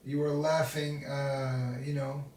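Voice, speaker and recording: A man in his fifties rambling in a slow, self-mocking monologue to his vlog viewers, making jokey asides to himself. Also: medium pitch, level tone, darkish tone, a little hiss or hum. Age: 50s. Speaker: vlogger. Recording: roomy, from a distance.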